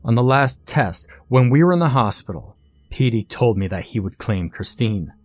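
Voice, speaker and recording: A man's voice: medium pitch, animated, moderate pace, a little mellow, no echo, close to an everyday voice. A determined everyman played by a male narrator argues his case point by point. He is assertive and matter-of-fact, in a dry, close storybook recording. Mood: emphatic, triumphant. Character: determined, clever male contestant, a loophole-hunting everyman.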